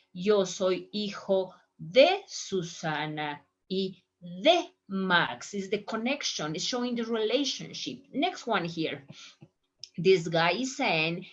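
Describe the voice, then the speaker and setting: Lady teacher, slow, repeats examples, bouncy pitch, kinda muffled recording. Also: a bit bright. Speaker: Spanish teacher. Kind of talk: online language lesson. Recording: dull lo-fi audio.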